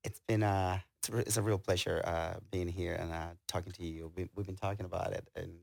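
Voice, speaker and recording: Low, creaky-voiced Guatemalan man. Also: steady tone, darkish tone, no room sound, studio quality. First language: Spanish. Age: middle-aged. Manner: halting, hesitant delivery. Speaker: interview guest, tailor and business owner. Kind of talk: casual conversational storytelling.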